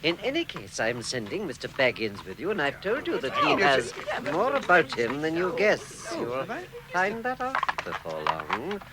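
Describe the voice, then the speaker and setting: Animated, commanding old wizard. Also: male voice, a little high, heavy background noise. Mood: brisk, firm. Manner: theatrical, authoritative, deliberate. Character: commanding, kindly old wizard, brisk and wry. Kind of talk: vintage radio drama acting. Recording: vintage radio drama, busy outdoor sounds behind.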